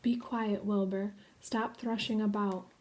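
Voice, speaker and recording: A woman voicing a calm, wise female spider who is dying, speaking quietly and low. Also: measured pace, natural voice.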